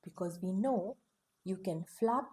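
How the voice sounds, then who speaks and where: Slow, soothing bedtime storyteller woman. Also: medium pitch. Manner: soft, soothing, sing-song rhythm. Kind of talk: gentle bedtime story read-aloud.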